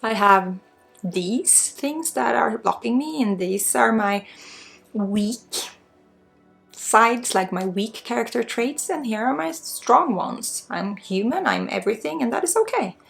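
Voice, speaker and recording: Slow, creaky Swedish woman doing ASMR. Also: fairly high pitch, expressive. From Sweden. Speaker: spiritual guide, energy healer. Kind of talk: ASMR spiritual healing session, unscripted. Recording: soft music under the voice. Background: soft music.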